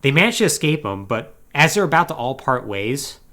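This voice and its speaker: Animated man recapping a game story. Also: medium pitch, a little fast. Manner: animated, slangy storytelling with mocking acted-out quotes. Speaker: podcast host. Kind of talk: casual comedic plot recap of a video game.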